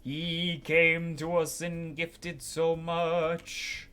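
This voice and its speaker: Guy doing a pious fanatic game character, preachy and grandiose, voice pushed up and loud. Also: on the high side, level tone, very slow, smooth, voice pushed up, heavily acted. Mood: solemn, prayerful. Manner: declamatory, preacher-like. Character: fervent male religious zealot, pious and grandiose. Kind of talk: video game dialogue read aloud by a player.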